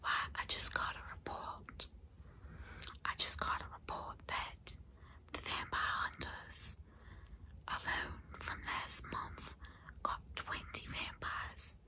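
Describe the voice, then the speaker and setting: A woman's voice: takes it slowly, sharp tone. A woman playing a chatty vampire saleswoman in a comedic ASMR roleplay, whispering breathily with rambling, sweetly patronizing endearments. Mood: mock-grave, condescending. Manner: rambling, folksy endearments, confiding. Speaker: consultant selling vampire transformations.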